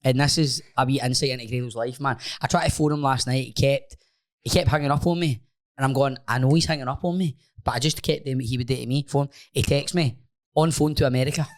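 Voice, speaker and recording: A Scottish man co-hosting a podcast, telling a story in an animated way and acting out text messages. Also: medium pitch, quick pace. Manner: animated storyteller, quotes texts. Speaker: podcast co-host. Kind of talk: casual storytelling.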